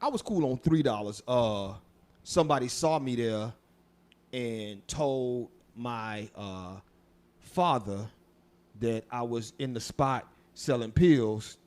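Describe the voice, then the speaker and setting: A man's voice: mid-range voice, slow, a little mellow. A man co-hosting a podcast, telling a long story in a casual, laughing manner with lots of swearing. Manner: laid-back, laughing, swearing, acts out quoted voices. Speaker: podcast co-host.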